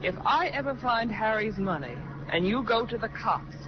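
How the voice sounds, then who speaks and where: An American actress playing a coy, scheming gangster's widow with sly, mocking banter in a 1940s radio drama. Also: female voice, fairly high pitch, animated, moderate pace, close to an everyday voice. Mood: mocking, menacing. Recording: muffled, noisy 1940s radio recording.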